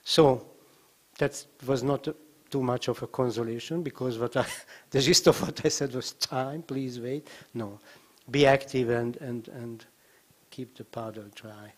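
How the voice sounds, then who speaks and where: A man's voice: medium pitch, fairly even intonation, measured pace. An older man speaking as a panelist at a conference with a handheld microphone, thoughtful and wry, often repeating words as he searches for the next phrase. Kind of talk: panel discussion remarks. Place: conference stage with banner.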